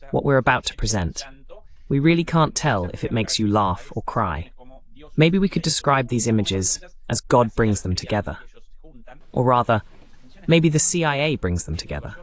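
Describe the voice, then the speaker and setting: A man voicing a political talk show host in translation, presenting the discussion. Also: mid-range voice.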